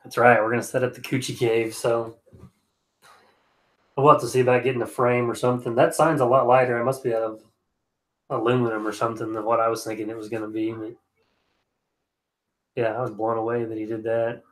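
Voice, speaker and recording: A man's voice: mid-range voice, little pitch movement, brisk, pauses often, poor audio. A man with a stuffed-up nose chatting casually on a home livestream, reading comments with easy, rambling restarts. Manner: easygoing, rambling, frequent restarts. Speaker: excavation contractor streaming live.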